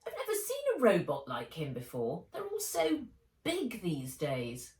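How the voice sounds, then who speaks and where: Woman playing a chatty, lively post office clerk. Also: lowish voice, a little slow, full character voice. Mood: amused. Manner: chatty, marvelling. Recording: roomy echo, distant from mic.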